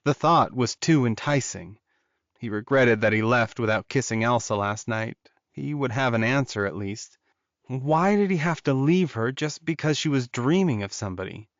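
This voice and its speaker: A man reads scripted fiction as an audiobook narrator. His pitch is medium, and the recording is very dry and a little dull. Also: animated, normal speed, no echo.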